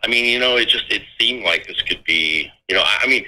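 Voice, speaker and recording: A low-voiced man with a very sharp, forward tone gives an informal account of what he has heard. He talks haltingly, restarting and repeating phrases as he goes. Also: low-quality recording. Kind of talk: informal spoken account of scanner reports.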